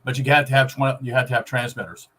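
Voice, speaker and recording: A man giving a casual, rambling explanation to viewers in a halting, folksy way. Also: mid-range voice, flat delivery, sharp tone, husky. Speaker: vlogger explaining radio.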